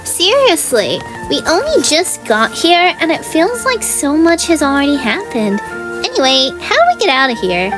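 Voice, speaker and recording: A woman voicing a tiny, excitable and blunt female floating companion in a video game, with a high and bouncy delivery. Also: moderate pace, poor audio. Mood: excited. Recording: game audio over game music.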